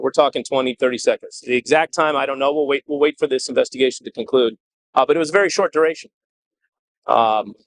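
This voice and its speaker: A man with a mid-pitched voice and a close, dry sound speaks as a police chief at a press conference. His delivery is earnest and measured, halting often as he picks his words. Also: fairly bright tone, no echo, poor audio. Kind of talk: press conference statement and answers. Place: press briefing at a hospital.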